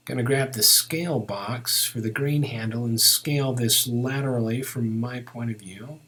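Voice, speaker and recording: A man giving a casual step-by-step software tutorial, thinking aloud informally as he works. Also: mid-range voice.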